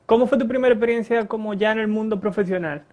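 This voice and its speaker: A man's voice: high voice, fast talker, highs cut off. A man hosting a live interview on a video call, asking questions and agreeing with short replies. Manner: supportive, brief agreeing replies. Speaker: host. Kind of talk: casual interview chat.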